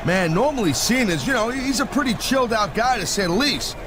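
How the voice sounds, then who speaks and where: A man's voice: high voice, lively intonation, brisk, noisy background. A man playing a casual colour commentator in a wrestling video game. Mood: chatty, concerned.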